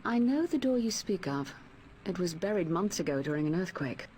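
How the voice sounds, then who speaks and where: A serious game heroine, formal n knowledgeable, lowish voice. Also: female voice, sing-song, moderate pace, darkish tone, a little hiss or hum. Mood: grave. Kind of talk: video game cutscene voice acting.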